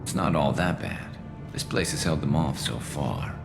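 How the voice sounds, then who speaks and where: A man playing a weary, wry alien vigilante sniper in a video game, speaking in a dry, laconic, gravelly way. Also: lively intonation, moderate pace, full character voice. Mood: calm, tactical. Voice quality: gravelly, low. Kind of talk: video game cutscene acting. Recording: music behind the voice.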